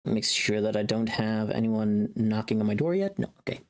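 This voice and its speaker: Streamer with animated, swooping intonation. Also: mid-range voice, normal speed. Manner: informal, profane, thinking aloud. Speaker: livestream host. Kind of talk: casual livestream chat while working.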